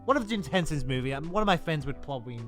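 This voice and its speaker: Rambling higher-voiced man co-hosting, music behind. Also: expressive, quick pace, a bit bright. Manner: rambling, stop-start delivery. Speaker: podcast co-host. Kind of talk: casual chat about video game films.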